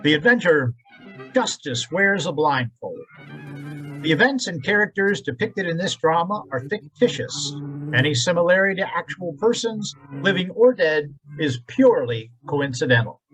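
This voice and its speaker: Dramatic, animated male radio announcer. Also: a little high, moderate pace, faint music behind, poor audio. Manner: dramatic, declamatory.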